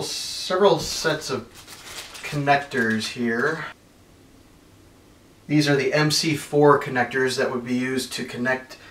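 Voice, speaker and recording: A man in his thirties unboxing and reviewing camping gear at home, talking in a relaxed, plain way with many ums. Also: medium pitch. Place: carpeted living room at home. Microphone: far camera. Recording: roomy, from far off, faint noise.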